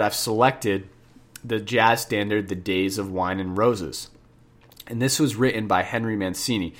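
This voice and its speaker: Stuffy-nosed man teaching jazz piano. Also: fairly low pitch. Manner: chatty, repeats points for the listener, self-corrects. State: stuffed up nose. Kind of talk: casual spoken lesson.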